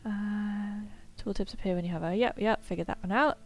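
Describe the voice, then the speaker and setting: A woman's voice: medium pitch, fairly quick, soft background music. A woman in casual let's-play commentary, reading tutorial text aloud and reacting, slightly nasal from a cold. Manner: chatty, upbeat, muses aloud with fillers. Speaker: gameplay streamer.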